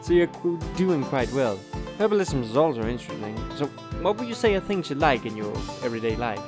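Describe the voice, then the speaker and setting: A man's voice: mid-range voice, sing-song, brisk, over music, natural voice. A man playing a courteous, encouraging board interviewer in an amateur audio drama, speaking formally.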